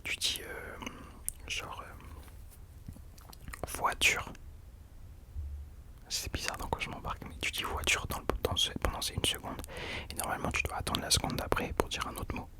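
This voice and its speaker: A man's voice: measured pace, pauses often. A man hosting ASMR in an unvoiced whisper, chatting informally and hesitantly, correcting himself as he goes. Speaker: ASMR host. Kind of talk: whispered ASMR chat about rappers.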